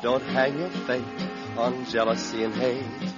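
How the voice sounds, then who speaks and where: A man's voice: mid-range voice, unhurried. A man singing a sentimental 1940s song in a crooning style. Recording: muffled vintage radio with loud music.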